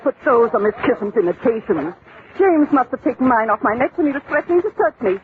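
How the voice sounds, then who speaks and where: Grand society dowager, indignant and affected, highly animated pitch. Vintage radio play, narrow sound. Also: female voice, fast talker. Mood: alarmed, indignant. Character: haughty, meddling society lady, mother of grown son. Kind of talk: old-time radio drama acting. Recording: tinny old radio recording, faint noise.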